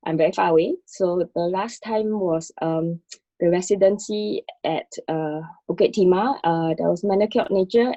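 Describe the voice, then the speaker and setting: A woman's voice: lowish voice, mellow, no echo. A woman talking casually and reflectively as an artist, hesitant and a little self-conscious as she searches for words, on a muffled recording. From Singapore. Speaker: artist in dialogue with a fellow artist.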